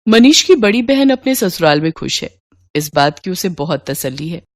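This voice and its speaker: A woman's voice: on the low side, very animated, moderate pace, no echo, studio quality. A woman narrating a scripted moral story as the storyteller.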